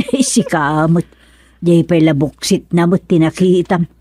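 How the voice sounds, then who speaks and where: A woman's voice: on the low side, very animated, slow pace, dark tone, breathy edge, dry recording, studio quality, full character voice. A woman acting in a Filipino radio serial as a devout, patient but vexed older aunt, with a Filipino accent and a sighing, admonishing manner.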